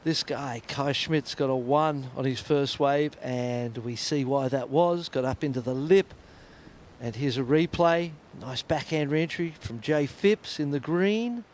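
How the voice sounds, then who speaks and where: Higher-voiced male surf commentator. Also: a little mellow. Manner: chatty, reflective between waves. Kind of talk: live sports commentary.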